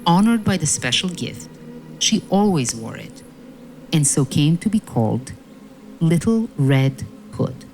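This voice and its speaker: Casting: female fairy-tale narrator, low register, measured and dramatic, music underneath. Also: big pitch swings, darkish tone, poor audio.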